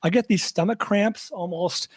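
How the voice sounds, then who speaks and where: A man with a hoarse, raspy voice, a show regular giving a halting, filler-heavy health update in casual podcast chat. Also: sits high, no room sound. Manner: halting, many fillers and restarts.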